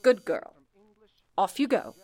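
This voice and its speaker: A woman's voice: medium pitch, sing-song, a little mellow, slightly rough, heavily acted. A woman playing a composed, commanding telephone exchange supervisor, speaking firmly and formally as in an old-time radio drama. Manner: firm, deliberate, formal.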